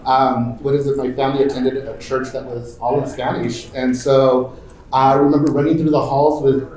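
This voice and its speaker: A man moderating a conference roundtable speaks in a middling voice, loose and halting. He keeps filling gaps with "um" and "what is it". The room around him is noticeably echoey. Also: medium pitch, flat delivery, a little mellow, slight background noise. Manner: halting, full of fillers like "um" and "what is it". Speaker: moderator and panelist. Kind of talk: casual conference talk.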